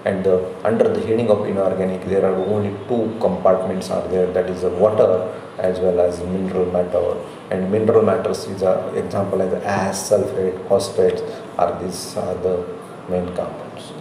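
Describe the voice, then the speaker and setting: A middle-aged man with an Indian accent giving a slide lecture as a college professor, speaking in halting, repetitive phrases. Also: sits low, little pitch movement, mellow. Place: indoor room, recorded lecture. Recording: very echoey room, faint noise.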